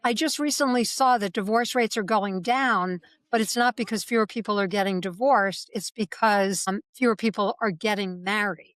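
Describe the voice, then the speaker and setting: A woman's voice: mid-range voice, normal speed, few pauses, no room sound. A woman hosting a podcast panel who leads the talk in a conversational way, asking each guest in turn. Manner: warm-up questions, conversational. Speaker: podcast host.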